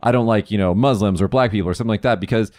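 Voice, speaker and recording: A man's voice: fairly low pitch, racing, darkish tone, no room sound, clean studio sound. A man hosting a podcast, talking thoughtfully in casual conversation with frequent restarts and fillers. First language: English. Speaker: podcast host.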